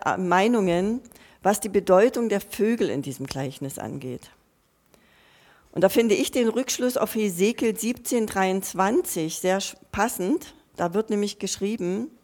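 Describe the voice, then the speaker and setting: Slow-speaking woman preaching earnestly. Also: mid-range voice, a little mellow. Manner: earnest, personal, addressing listeners directly; restarts and repeats phrases while thinking aloud. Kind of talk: sermon.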